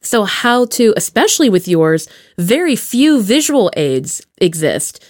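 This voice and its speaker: A woman with a mid-pitched voice hosts a chatty podcast. She is playful and jokey as she puts questions and thoughts to her guest. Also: very animated, unhurried. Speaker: podcast host. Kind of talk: casual chat, asking questions.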